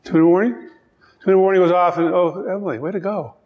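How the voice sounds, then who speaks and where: Chatty Newfoundland minister in a reverberant church. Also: male voice, high-pitched, fairly even intonation, muffled recording. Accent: Newfoundland English. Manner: chatty, joking, quick asides. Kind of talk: informal children's-time sermon. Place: church sanctuary, standing at pulpit.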